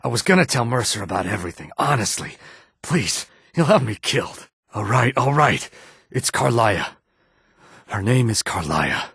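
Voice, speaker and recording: A male actor voicing a sly, courteous lizard-man townsman in a fantasy video game, with a husky, raspy voice and measured, formal phrasing. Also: mid-range voice, sing-song, a bit bright, no room sound, studio quality, heavily acted. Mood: panicked, pleading. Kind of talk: scripted video game dialogue acting.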